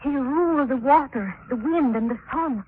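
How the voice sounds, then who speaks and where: A very high-pitched young island princess, played by an actress in a 1940s radio drama. She speaks earnestly in short, broken English phrases. The recording is tinny and noisy. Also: moderate pace, lightly acted. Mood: grave, earnest.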